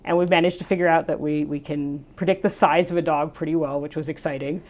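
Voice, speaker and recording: A woman's voice: low-pitched, steady tone, fast, darkish tone. A woman scientist answering interview questions, explaining her work eagerly with many "you know"s. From United States. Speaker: scientist, professor.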